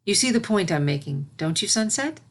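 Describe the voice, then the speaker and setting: A woman voicing a patient, gentle royal pony princess who teaches and consoles her pupil in a storybook reading. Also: mid-range voice, very animated. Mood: patient, teaching. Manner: measured, instructive, consoling.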